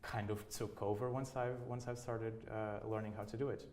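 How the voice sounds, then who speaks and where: A man's voice: on the low side, flat delivery, normal speed, a little mellow. A man in his thirties from Portugal, speaking English with a Portuguese accent, answering interview questions in a hesitant way with many restarts. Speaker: opera singer, interviewee.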